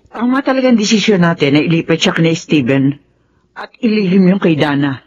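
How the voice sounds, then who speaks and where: A woman's voice: on the low side, animated, brisk, darkish tone, natural voice. An actress with a Filipino accent playing a fretful, exasperated middle-aged mother in a radio drama. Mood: fretful, disapproving. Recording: dull lo-fi sound, faint noise.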